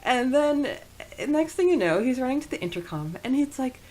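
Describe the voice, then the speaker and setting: A woman's voice: sits high, sing-song, moderate pace, darkish tone. A woman co-hosting a podcast, telling personal anecdotes informally and acting out the quoted dialogue. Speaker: podcast host. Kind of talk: casual personal storytelling.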